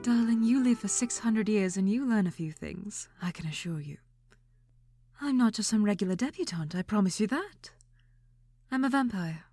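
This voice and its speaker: A woman's voice: mid-range voice, lively intonation, moderate pace, warm tone, no echo, faint music behind. A woman playing a regal, courteous, centuries-old vampire queen in an audio drama, speaking in a formal, genteel and teasing way. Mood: coy, assured. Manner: genteel, formal, uses endearments like dear. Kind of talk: scripted audio drama acting.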